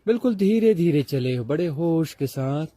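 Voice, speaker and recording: A man with an Indian accent teaching a guided meditation, speaking deliberately in short repeated phrases with pauses. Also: a little high, expressive, dark tone, no echo. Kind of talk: guided walking meditation instruction.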